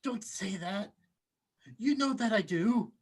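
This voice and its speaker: Furtive oddball uncle, acted by a man, rough breathy voice, nervous and hesitant, macabre comedy read. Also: high-pitched, lively intonation, slow pace, exaggerated voice. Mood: furtive, anxious. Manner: hesitant, secretive. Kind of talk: script table read, character acting.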